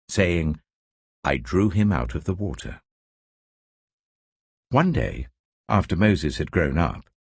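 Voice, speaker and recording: Very deep, expressive male scripture narrator. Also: vocal fry, slightly rough, dry recording. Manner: measured, expressive storytelling. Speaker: Bible narrator.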